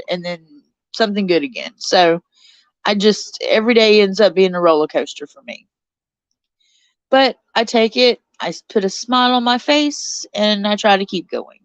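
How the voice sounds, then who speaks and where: A woman's voice: medium pitch, no echo, muffled recording. A woman in her forties hosting a casual live stream from a cold bedroom, chatty and a little stuffed up from her sinuses, reading out viewer comments. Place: cold bedroom under a blanket.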